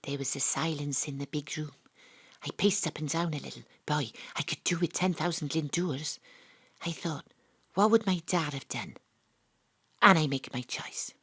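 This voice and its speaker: Actress doing a welsh lady sleuth, wry, a bit low, audio drama storytelling. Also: normal speed, fairly bright tone, slightly rough, heavily acted. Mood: confiding, wry. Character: wry, principled young female detective narrating her case. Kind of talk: audio drama acting and narration.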